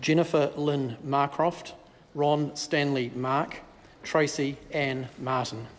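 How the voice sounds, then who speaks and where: A man's voice: medium pitch, fairly even intonation. A man with a New Zealand accent, a parliamentary clerk formally reading out names in a large wood-panelled chamber. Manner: measured, formal. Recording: reverberant chamber, faint hum.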